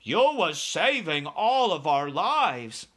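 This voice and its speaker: A man voicing a kindly, crafty giant with deliberate, commanding delivery and quaint muddled grammar in a storybook. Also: on the high side, very animated, fairly bright tone, husky, full character voice. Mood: satisfied, reassuring.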